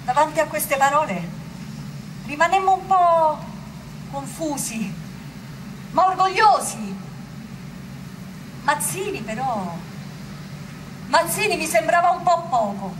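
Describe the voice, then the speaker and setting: An actress playing a plain-spoken, sceptical but proud Roman commoner of the 1800s, musing haltingly in a stage monologue. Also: female voice, high voice, animated, background noise. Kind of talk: theatrical monologue.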